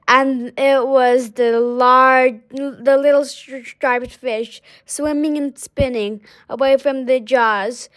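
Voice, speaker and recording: A woman reading a children's story aloud in a halting way, sometimes stumbling over words. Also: high-pitched. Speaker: storybook reader.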